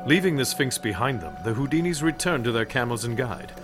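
A man's voice: medium pitch, lively intonation, brisk, natural voice. A man performing as the solemn narrator of a radio drama, reading literary, scripted narration. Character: solemn male narrator of an eerie adventure tale. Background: music.

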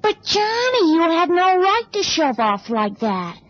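An actress playing an indignant young American woman in a 1960s radio drama, shrill and complaining. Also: sing-song, measured pace, fairly bright tone, no echo, heavily acted. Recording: dry, dull old radio recording.

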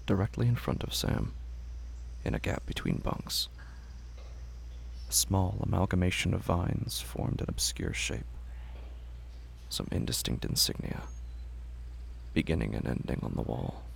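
A man narrating a scripted horror story in a hushed, deliberate, brooding, gravelly manner. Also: low-pitched, sing-song, slight background noise.

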